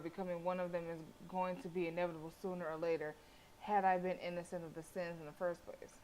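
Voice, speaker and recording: A woman's voice: fairly low pitch, low-quality recording. A sassy, colloquial woman reading and reacting aloud as she streams a game. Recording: faint background noise.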